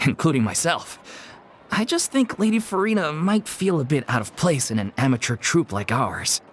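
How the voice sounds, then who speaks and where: A woman's voice: low voice, a little hiss or hum. A woman voicing an earnest, polite member of an amateur theatre troupe in a video game, speaking hesitantly.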